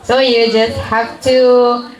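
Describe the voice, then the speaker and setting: A woman's voice: on the high side, flat delivery, slow, reverberant, low-quality recording. Woman host asking a guest questions. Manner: prompting questions. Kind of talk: interviewing a guest. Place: school hall.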